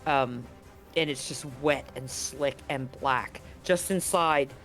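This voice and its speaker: A man's voice: mid-range voice, slow, fairly bright tone, soft background music. A man game master narrating a tabletop horror game in vivid, graphic detail with slangy asides.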